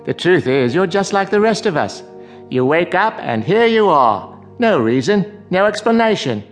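Sardonic clown, arch and florid, wide swooping intonation, mocking, resigned, radio drama, music underneath. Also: male voice, high voice, sing-song, normal speed. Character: sardonic, theatrical male clown, blithely resigned to captivity.

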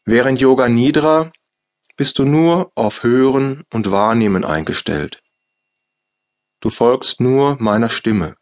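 Slow, calm German man guiding meditation. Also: mid-range voice. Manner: slow, soft, calm instructions with long pauses. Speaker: meditation guide. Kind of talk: guided yoga nidra meditation.

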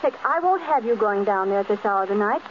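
A woman's voice: mid-range voice, lively intonation, fast, highs cut off, close to an everyday voice. An actress playing a playful, spirited wealthy wife in a 1930s radio drama, scolding her husband in jest. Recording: scratchy 1930s radio broadcast.

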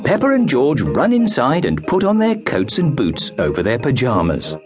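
A man's voice: mid-range voice, wildly swooping pitch, moderate pace, over music. A man narrating a children's storybook as an audiobook reader.

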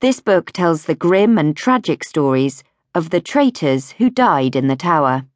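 Low-pitched woman narrating with lively intonation. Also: a little slow, dry recording, clean studio sound. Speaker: audiobook narrator. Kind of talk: scripted history audiobook narration. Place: recording studio.

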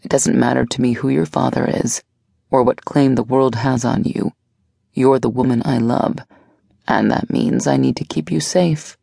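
A protective woman weighed down by guilt, as a woman reader voices her. She talks low, slow and subdued, with a gravelly, dark tone. The recording is close and dry. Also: fairly even intonation, dry recording, full character voice. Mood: tender.

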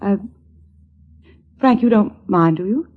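An actress playing a dazzling, high-strung young stage actress who talks dramatically and theatrically, in 1940s radio style. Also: female voice, takes it slowly, narrow, tinny audio. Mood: anxious.

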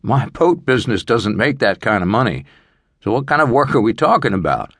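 A man's voice: mid-range voice, sing-song, fairly quick, a bit bright, dry recording, studio quality, voice pushed up. Man playing an uneasy, questioning small businessman.

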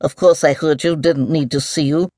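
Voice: medium pitch, steady tone, a little slow, no room sound, studio quality, natural voice. A narrator voicing a grumpy, mumbling giant mole. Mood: indignant. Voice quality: mumbling, liquid. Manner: repetitive, indignant muttering to itself. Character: grumpy muttering giant near-sighted mole, fussy and pompous.

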